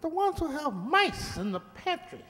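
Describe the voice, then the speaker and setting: A woman's voice: sits high, big pitch swings, unhurried, fairly bright tone, exaggerated voice. A woman playing a brash, tipsy male talking mouse out for a good time in a radio play. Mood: tipsy, wheedling. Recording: reverberant hall, stage-like echo.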